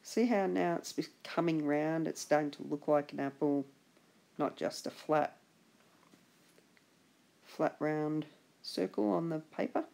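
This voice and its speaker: A woman art instructor narrates a drawing lesson in a relaxed, chatty voice-over. Her voice is husky and gravelly from a chest infection. She keeps an encouraging tone and often trails off before restarting a thought. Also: low voice, unhurried, long pauses.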